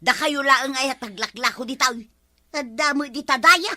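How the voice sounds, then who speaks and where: A woman's voice: high-pitched, normal speed, sharp tone, no echo, studio quality. A woman playing a grumbling older village woman in an Ilocano comedy drama. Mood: indignant.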